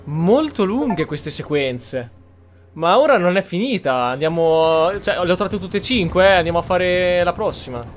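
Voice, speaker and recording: An Italian man hosts a roleplay gaming session in a fairly high voice. He muses aloud in a casual way, with game music playing behind him. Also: animated, moderate pace. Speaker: gaming channel host. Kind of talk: casual gameplay commentary with roleplay.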